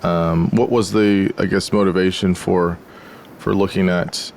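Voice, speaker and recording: A man's voice: deep voice, steady tone, a little slow, a little mellow, a little hiss or hum. A man from the United States hosting an interview podcast, asking loose, rambling questions in a casual way. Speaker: podcast host.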